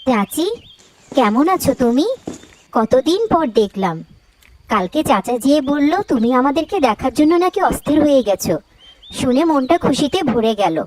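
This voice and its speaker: A woman's voice: high-pitched, expressive, moderate pace, darkish tone. A woman playing an affectionate, supportive young wife in a cartoon story, sweet and chatty. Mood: bright, delighted. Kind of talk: Bengali cartoon story dialogue.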